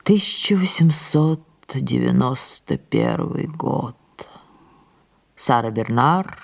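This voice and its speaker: An actress playing an aging, imperious, melancholy French stage star in an audio drama, declaiming theatrically with drawn-out words that drop into breathy murmurs. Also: female voice, extremely low pitch, a little mellow, full character voice. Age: older. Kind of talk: audio drama monologue and dialogue.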